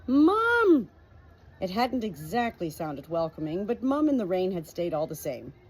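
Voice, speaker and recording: Slightly husky woman reading a story. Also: lowish voice, lively intonation, moderate pace, warm tone. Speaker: audiobook reader. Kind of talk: scripted storybook narration.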